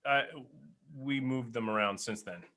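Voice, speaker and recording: Male podcast co-host, bright punchy voice, mid pitch, casual banter, jokey, teasing. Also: unhurried.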